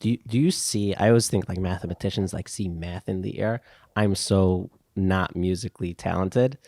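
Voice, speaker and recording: A man's voice: deep voice, normal speed, vocal fry, darkish tone, no echo, clean studio sound. A man hosting a podcast in a casual, conversational way, somewhat hesitant and repeating words as he goes. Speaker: podcast host.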